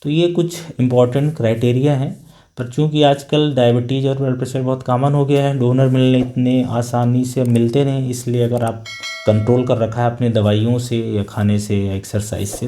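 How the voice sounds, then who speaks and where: Man, doctor, middle-aged, warm mellow voice, calm patient explainer, plain-spoken. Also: medium pitch, normal speed, slight background noise. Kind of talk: explanatory health talk.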